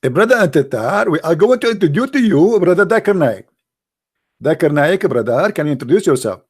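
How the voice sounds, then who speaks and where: A man's voice: on the high side, big pitch swings, fairly quick, darkish tone, husky. A man giving an argumentative, sarcastic monologue, full of rhetorical questions, speaking English with non-native grammar. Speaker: religious debater. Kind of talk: polemical monologue.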